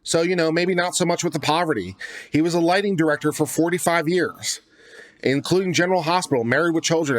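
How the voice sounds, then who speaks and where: A man's voice: a little high, no echo. A man hosting a legal commentary channel, reading a document aloud and breaking off into casual, sardonic asides and rhetorical questions. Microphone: close studio microphone.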